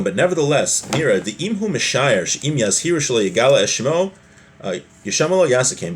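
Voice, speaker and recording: A man giving an informal religious law lesson, reading from a text and explaining it with everyday hypothetical examples. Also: medium pitch. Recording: noticeable background noise.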